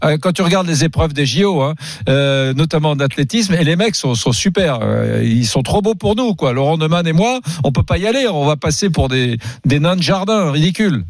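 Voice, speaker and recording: A man's voice: a little high, lively intonation, fairly quick, no room sound. A man hosting a radio talk show, joking with the caller and reading information aloud. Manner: jokey, reads aloud off the web. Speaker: radio host. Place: radio studio.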